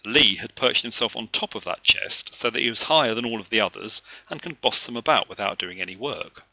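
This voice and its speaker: A man reading his children's story aloud as an author, with expressive narration. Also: medium pitch, brisk, creaky, poor audio.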